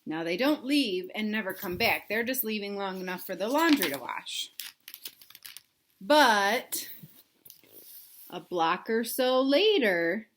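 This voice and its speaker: A woman reading a picture book aloud to young children, pausing to explain things simply and ask them questions. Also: mid-range voice, expressive, fairly bright tone, a little hiss or hum. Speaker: read-aloud storyteller for children.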